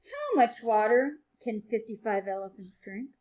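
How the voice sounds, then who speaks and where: A woman teacher reading a picture book aloud to children, playful and sing-song, with quieter chatty asides. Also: a little high, sing-song, a little slow.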